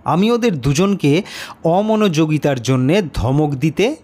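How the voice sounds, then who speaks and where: Slow, higher-pitched male storyteller. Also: animated, mellow. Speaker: story narrator. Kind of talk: first-person scripted literary narration.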